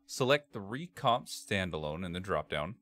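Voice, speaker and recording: Man, lowish pitch, bass-heavy voice, tutorial narration, measured step-by-step instructions, computer microphone. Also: big pitch swings, a bit bright. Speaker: tutorial presenter. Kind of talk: scripted software tutorial narration. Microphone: computer microphone, screen-capture voice-over.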